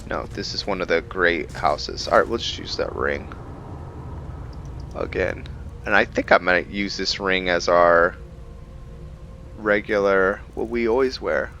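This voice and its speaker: Slow-talking low-voiced man playing a game. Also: lo-fi sound, slight background noise. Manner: relaxed, musing aloud with fillers. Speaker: gaming video host. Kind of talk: casual game commentary.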